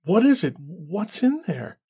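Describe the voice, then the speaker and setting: A man voicing an anxious young woman who speaks in a pleading, shrill and indignant way. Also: very animated, takes it slowly, darkish tone, husky, heavily acted. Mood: alarmed. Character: anxious young woman, worried then furious.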